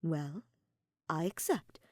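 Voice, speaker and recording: A woman voicing a composed, formal, commanding woman in a story, sultry and measured. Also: expressive, a little mellow, no echo, studio quality, full character voice. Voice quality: deep, sultry.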